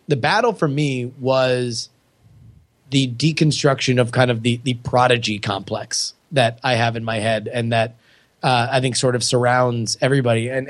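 A man hosting a podcast in a casual, conversational chat. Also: medium pitch. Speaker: podcast host.

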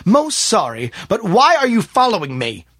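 A man acts out a gentleman investigator in an audiobook story. He is formal, courteous and probing, with a stern edge. His pitch rises high and swings widely, and the sound is close and dry. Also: animated, moderate pace, husky, no room sound, full character voice. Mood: polite but stern. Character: polite, stern, eccentric gentleman investigator Smith.

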